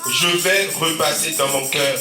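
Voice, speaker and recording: A man's voice: on the high side, a little slow, over music. A man preaching a sermon as pastor, with a sore throat and a cold, calling out amen and alleluia often, with a Central African French accent. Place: church hall. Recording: echoey church from a distance.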